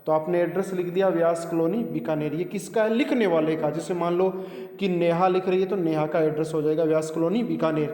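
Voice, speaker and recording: A man with an Indian accent, a native Hindi speaker, teaching a bilingual English lesson, deliberately repeating phrases and explaining them piece by piece. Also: fairly high pitch, fairly even intonation, dark tone. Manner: didactic, repeats phrases slowly and deliberately word by word. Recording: echoey, reverberant room.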